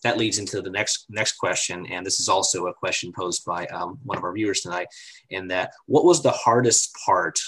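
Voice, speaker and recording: A man hosting an interview, responding thoughtfully and putting viewer questions to his guest in a measured way. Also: sits low, a bit bright, slight background noise, poor audio. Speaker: podcast host. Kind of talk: interview moderation.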